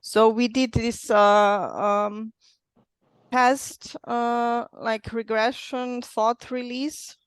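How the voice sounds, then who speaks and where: A woman from Slovenia with a Slovenian accent, sharing her experience as a coaching client in hesitant, careful English, often pausing to search for words. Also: fairly high pitch, level tone, clean tone, no echo. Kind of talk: personal account in a group coaching session.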